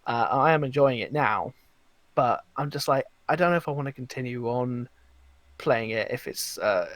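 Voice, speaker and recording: A man on a podcast panel, chatting casually and telling gaming anecdotes in an informal, halting way. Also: mid-range voice, a bit bright, no echo.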